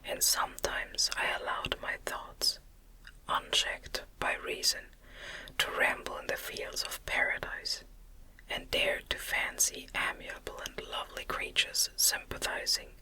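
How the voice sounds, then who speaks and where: A woman reading a gothic novel as an ASMR narrator in a gentle, breathy, unvoiced whisper, close to the microphone. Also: low-quality recording. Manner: gentle, deliberate literary reading. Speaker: audiobook narrator.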